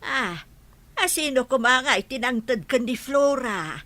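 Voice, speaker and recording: A woman playing a worried, stubborn village grandmother in an animated, questioning radio drama style. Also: sits high, unhurried. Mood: puzzled. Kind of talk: Filipino radio drama acting. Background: music.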